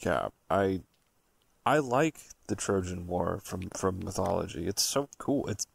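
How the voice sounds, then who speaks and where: A man with a low voice that crackles with heavy fry, recorded close and dry. He co-hosts a casual podcast, swearing freely and leaning hard on his points as he talks. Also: expressive, a little slow, no echo. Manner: profane, blunt, emphatic. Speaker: podcast co-host. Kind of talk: casual banter and storytelling.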